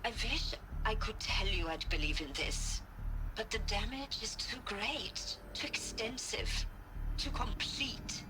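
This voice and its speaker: Zgorzkniała, zrozpaczona naukowczyni z gry. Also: female voice, a little high, animated, slow, rough recording. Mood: bleak. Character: bleak, despairing woman scientist refusing to live on. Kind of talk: video game voice acting. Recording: music behind the voice. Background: game soundtrack music.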